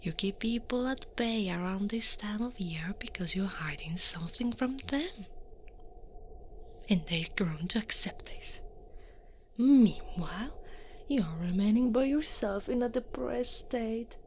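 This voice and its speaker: An Italian woman acts out a towering female Krampus for an ASMR roleplay. She whispers breathily and very slowly, stern but soothing, coaxing with quiet menace. Faint ambient noise sits behind the voice. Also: mid-range voice, lively intonation, close to an everyday voice. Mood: stern, knowing. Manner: slow, deliberate, coaxing and menacing. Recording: noisy ambient background.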